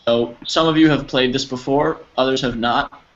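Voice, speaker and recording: A man teaching an English class over a video call, patient and encouraging, explaining slowly with examples. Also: medium pitch, steady tone. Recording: slightly dull audio with faint noise.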